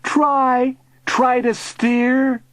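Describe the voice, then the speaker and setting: An earnest young boy, as a male narrator acts him out, high in pitch and lively in swing. He is urgent and stubborn, the anxious young hero of an audiobook tale. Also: slow pace, no room sound, heavily acted. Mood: worried pleading. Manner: urgent, pleading. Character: earnest young boy, defiant, worried for his uncle.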